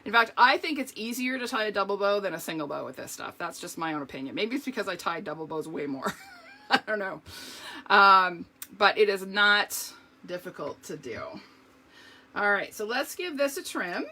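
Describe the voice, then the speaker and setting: A woman showing card-making step by step in a live video, chatty and talking to her viewers, her voice slightly hoarse. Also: mid-range voice, animated, moderate pace, sharp tone. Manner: chatty, explains each step, talks to viewers. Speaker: craft demonstrator.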